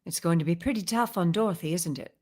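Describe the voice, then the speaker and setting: A woman playing a playful, curious, sharp-witted wife who coaxes and teases in a vintage radio drama. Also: fairly low pitch, fast talker, natural voice. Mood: coaxing. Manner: coaxing, inquisitive, teasing.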